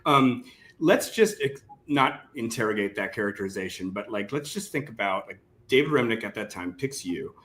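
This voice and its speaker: A man's voice: lowish voice, expressive, moderate pace, a bit bright. A man hosting a casual literary interview, speaking in a rambling, conversational way with lots of "like". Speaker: interviewer, host.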